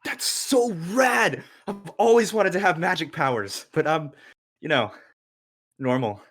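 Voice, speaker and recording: A man's voice: a little high, unhurried, a bit bright. A man playing a slangy, enthusiastic, goofy teenage boy, the loyal best friend, at an amateur script table read. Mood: excited.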